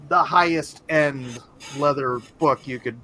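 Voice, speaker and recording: A man's voice: on the high side, slow, noisy background. A man chatting casually as a podcast co-host. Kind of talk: casual chat.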